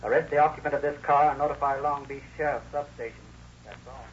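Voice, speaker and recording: An actor playing an official, urgent police radio dispatcher in a 1930s radio drama, announcing formally. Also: male voice, medium pitch, fairly even intonation, fast talker, noisy background, lightly acted. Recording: dull old radio recording.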